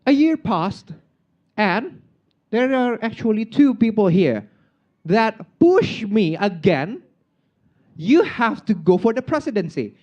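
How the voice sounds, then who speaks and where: Chatty Indonesian man with a lively voice. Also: high-pitched, takes it slowly. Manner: chatty and self-mocking, with many filler "like"s. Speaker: outgoing club president giving a speech. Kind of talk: informal speech at a club meeting. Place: meeting room, speaking on stage. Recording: dull, muffled lo-fi recording.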